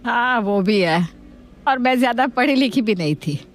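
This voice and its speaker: A woman playing a doting, gullible grandmother with a homely, indulgent manner in an animated family story. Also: on the high side, moderate pace, lightly acted. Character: kindly, gullible, doting grandmother and mother-in-law.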